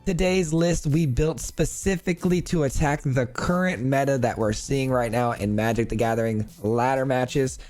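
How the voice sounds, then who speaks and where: A man with a hoarse voice from illness, casually breaking down a card game deck for his channel viewers in an upbeat, chatty way. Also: medium pitch, fairly even intonation, moderate pace, hardly any pauses, darkish tone, faint music behind. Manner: upbeat, thankful, chatty with fillers. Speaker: card game video host.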